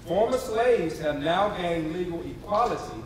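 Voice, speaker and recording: In a very echoey hall with an audience around, a man acts as an impassioned narrator of history. His fairly high voice moves in wide swings, with emphatic, deliberate phrasing built for a staged reading. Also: lively intonation, crowd noise, lightly acted. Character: impassioned male narrator of civil rights history. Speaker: stage performer in readers theatre. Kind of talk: dramatic staged reading, oratory. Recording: echoey hall, distant mic, audience responses.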